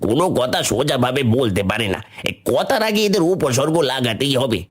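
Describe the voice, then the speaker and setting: A man voicing a clever, helpful but bossy monkey in a cartoon fable, husky and chiding as he gives instructions. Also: medium pitch, sing-song, a little fast. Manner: chiding, know-it-all, instructive. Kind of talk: animated children's fable dialogue. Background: music.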